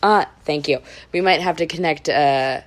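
Casual, wry woman, lower-pitched voice. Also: moderate pace. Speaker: podcast co-host. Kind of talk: casual conversational storytelling.

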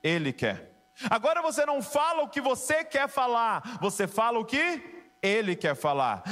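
A man's voice: very high-pitched, a bit bright. A man preaching a sermon to a congregation, emphatic and rising to passionate exhortation. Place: church with keyboard behind.

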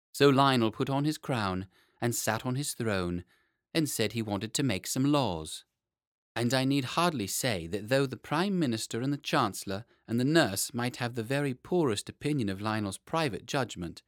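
A man reading a children's story as an expressive audiobook narrator. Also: lowish voice, no room sound, clean studio sound.

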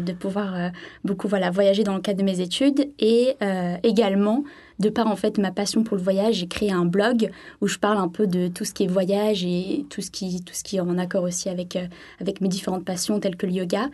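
A young woman from the south of France talks as a guest in a radio interview. Her voice sits at an average pitch, and she explains herself in a chatty, conversational way. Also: fairly even intonation, a little fast, darkish tone. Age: young adult. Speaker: interview guest, French language assistant.

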